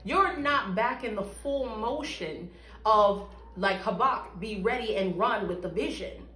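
A woman's voice: mid-range voice, unhurried, bright, forceful tone. A woman giving a spoken church testimony in an interview, earnest and emphatic, picked up a few feet from the camera in a small room. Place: small indoor room with bare walls, seated. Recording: echoey room, mic at a distance.

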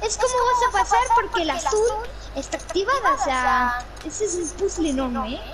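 A woman's voice: extremely high pitch, animated, normal speed. A female gamer chatting casually while directing a partner through a game step by step. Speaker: gamer playing co-op. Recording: clearly noisy home gaming recording.

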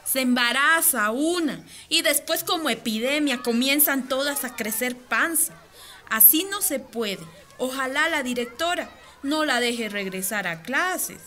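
A woman's voice: sits high, expressive, a little slow, bright, forceful tone, heavily acted. A woman acting an indignant, disapproving female schoolteacher in a radio drama. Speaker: teacher.

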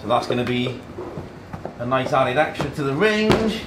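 A man's voice: mid-range voice, lively intonation, normal speed. A man in his thirties hosting a casual tech unboxing, speaking in short phrases as he handles boxes and products. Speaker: host of a tech unboxing video. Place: indoor office meeting room. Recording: echoey room, background noise and handling clatter.

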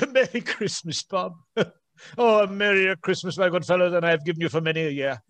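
An actor in a radio play voicing an elderly reformed miser with a theatrical, blustery manner. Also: male voice, high voice, brisk, a bit bright, dry recording. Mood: jubilant. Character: elderly reformed miser, excitable, jolly, mock-stern.

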